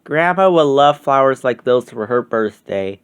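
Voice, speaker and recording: A man's voice: mid-range voice, animated, measured pace, clean tone, lightly acted. A man voicing a cheerful, curious young girl in a children's storybook. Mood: bright, curious.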